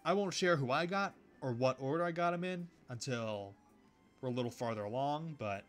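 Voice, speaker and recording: A man reading a visual novel aloud, with relaxed commentary and casual asides. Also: mid-range voice, moderate pace, faint music behind. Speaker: video game let's player.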